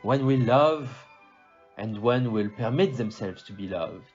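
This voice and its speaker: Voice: medium pitch, animated, a little slow, a little mellow, soft background music, lightly acted. A performer voicing a courteous, shrewd, fatherly male detective in an audio play, with formal, theatrical phrasing and a put-on French accent. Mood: courteous, probing. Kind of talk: amateur audio play acting. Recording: dull, muffled lo-fi.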